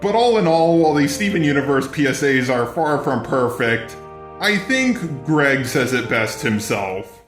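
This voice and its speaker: A synthetic male text-to-speech voice at a medium pitch reads scripted commentary. It sounds roomy, with music playing softly behind it. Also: sing-song, a little slow.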